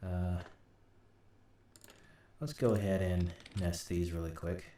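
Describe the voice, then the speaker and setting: Low, roomy-sounding man giving a tutorial. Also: fairly even intonation, warm tone. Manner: halting, thinking aloud while working. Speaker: host, audio drama sound designer. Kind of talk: informal screen-recorded software tutorial. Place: indoor room at a computer. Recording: roomy, distant mic, light noise. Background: keyboard typing and mouse clicks, faint noise.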